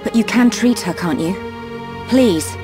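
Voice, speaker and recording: An earnest, courteous young noblewoman in a video game, played by a woman. Her mid-pitched voice is well-spoken and full of concern. Music is clearly audible behind her. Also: measured pace, close to an everyday voice. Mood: worried. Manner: polite, earnest. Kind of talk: dramatic video game cutscene acting. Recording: voice under clear background music.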